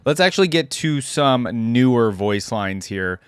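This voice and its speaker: A man's voice: mid-range voice, sing-song, measured pace, clean tone, no room sound, clean studio sound. A man hosting a reaction video, giving casual commentary in an offhand, conversational way.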